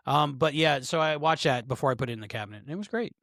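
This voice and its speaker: A man co-hosting a podcast, talking at a middle pitch on a close, dry mic. He chats in an offhand way and drops joking asides as he goes. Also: quick pace, a bit bright, dry recording. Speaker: podcast co-host. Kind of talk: casual review chat.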